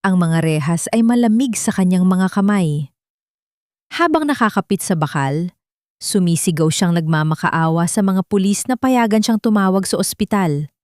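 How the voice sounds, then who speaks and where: A woman's voice: on the low side, animated, normal speed, darkish tone, no echo, studio quality. A woman narrator reading a scripted, dramatic love story.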